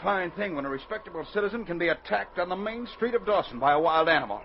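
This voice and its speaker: A man's voice: high voice, expressive, fast talker. An actor in a 1940s radio drama playing an indignant, self-important businessman villain, pompous and demanding. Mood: outraged. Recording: muffled, faintly noisy 1940s radio recording.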